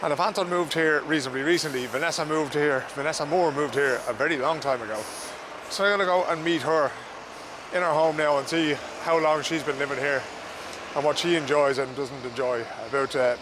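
A man's voice: on the high side, steady tone, a little fast. An Irish man hosting a casual, chatty interview show on location. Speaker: podcast host and interviewer. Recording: noisy outdoor location with traffic.

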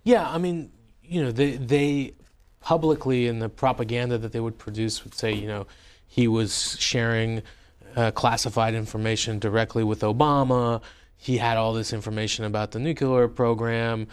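A man's voice: medium pitch, fairly even intonation, normal speed, clean tone. A man, a journalist and author, recounting his experiences in a wry, conversational way with many fillers and restarts. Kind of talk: interview, personal storytelling.

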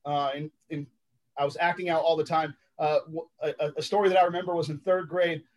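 A man from Los Angeles whose first language is sign language, telling his life story as a podcast guest in a reflective, halting way with many ums and uhs. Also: fairly high pitch, little pitch movement, darkish tone. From Los Angeles, United States.

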